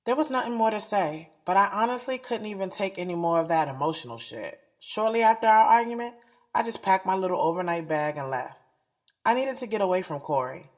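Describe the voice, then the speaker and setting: An actress plays a blunt, self-protective woman in a confessional audio drama. She speaks in frank, profane slang at a middle pitch. Soft music sits behind her voice. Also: close to an everyday voice. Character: guarded, blunt, self-admittedly selfish woman narrating her love life. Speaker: narrator and lead of an audio drama.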